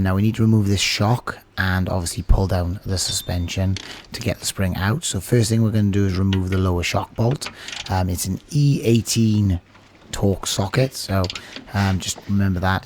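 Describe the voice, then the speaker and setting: A man's voice: low-pitched, background noise. A British man in his thirties who is losing his voice, chatting casually as he walks through a DIY car repair tutorial. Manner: relaxed, chatty, filler-heavy explaining. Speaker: channel host, car mechanic. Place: small home workshop office indoors.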